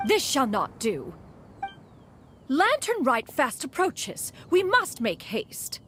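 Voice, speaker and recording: A woman's voice: sits high, wildly swooping pitch, slow, fairly bright tone, slight background noise, exaggerated voice. A woman playing a proud, caring immortal female master in a video game, speaking in a pompous, formal way. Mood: earnest, stately. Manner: pompous, formal, calls herself "one". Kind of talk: video game character dialogue. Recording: music behind the voice.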